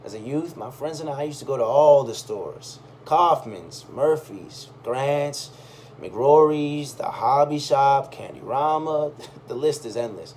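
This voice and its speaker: A man tells a personal story from a written text in a medium-pitched voice. His delivery is conversational and expressive, leaning on pauses and emphasis. There is faint noise behind him. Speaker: reader of a personal essay. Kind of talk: expressive first-person storytelling, read aloud.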